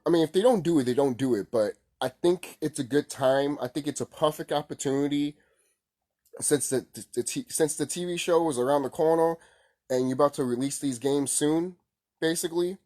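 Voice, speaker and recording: Guy doing a gaming vlog, medium pitch, just rambling his opinion, informal and kinda repetitive. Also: darkish tone. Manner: informal, repetitive, mild swearing. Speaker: gaming vlogger.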